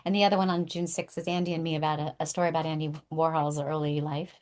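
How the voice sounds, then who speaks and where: A woman's voice: deep voice, flat delivery, fast, a little mellow. A woman talking casually as a producer guest in an interview. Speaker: podcast guest, table read producer. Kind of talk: casual chat.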